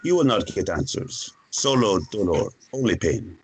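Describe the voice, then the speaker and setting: Low and rough-edged, this is a guarded rural farmer acted by a man in a drama read-through. He is Spanish-speaking and sparing with words. His delivery is blunt, plain and halting. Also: very animated. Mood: gruff, reserved. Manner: terse, halting, plain-spoken.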